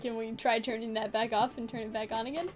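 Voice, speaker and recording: A woman's voice: a little high, flat delivery, fast, soft background music. A woman streamer chatting casually during a game playthrough.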